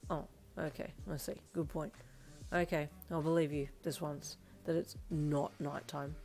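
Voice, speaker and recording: A woman's voice: fairly even intonation, unhurried, vocal fry, a little mellow, faint music behind. A woman doing a sly, flirty husband. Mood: teasing. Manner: low murmur, teasing.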